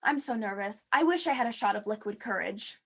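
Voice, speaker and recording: An actress playing a naive, jittery co-host in theatrical 1940s radio comedy style. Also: female voice, medium pitch, lively intonation, fairly quick, lightly acted. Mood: nervous, suspenseful.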